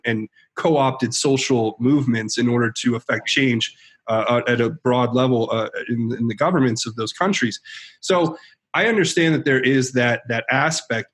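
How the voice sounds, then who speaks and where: Man host asking rambling questions. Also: medium pitch. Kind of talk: interview conversation.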